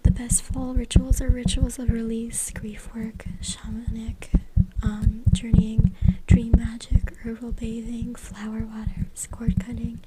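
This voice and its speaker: A woman's voice: fairly high pitch, level tone, a little slow. Whispering ASMR woman, very noisy recording. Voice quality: breathy unvoiced whisper. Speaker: ASMR content creator. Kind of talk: ASMR whispered reading from a book. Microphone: USB microphone, close up. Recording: very noisy close USB mic, clicks. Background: loud noise, tapping and scratching clicks.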